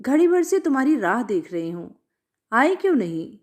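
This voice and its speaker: A woman storyteller voices a devoted young village sweetheart. She speaks high and tenderly, coaxing with lively rises and falls in a storybook romance. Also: moderate pace.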